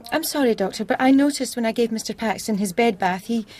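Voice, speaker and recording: A woman playing a young nurse in a radio drama, polite and hesitant but quietly defiant. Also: on the high side, fast talker, lightly acted. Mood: apologetic. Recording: clear background noise, clicks.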